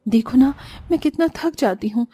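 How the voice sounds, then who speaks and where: A long-suffering cartoon housewife played by a woman, with a high, mellow voice over background music. She sounds plaintive and dutiful, worn down by chores. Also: normal speed, slightly rough, dry recording. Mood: weary, complaining. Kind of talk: cartoon moral story dialogue.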